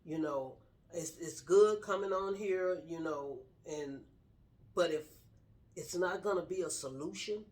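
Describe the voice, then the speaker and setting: A woman's voice: fairly low pitch, a little slow, a little mellow. A middle-aged woman sharing her personal story casually in an earnest, straightforward way. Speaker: guest telling personal story, wife of the host.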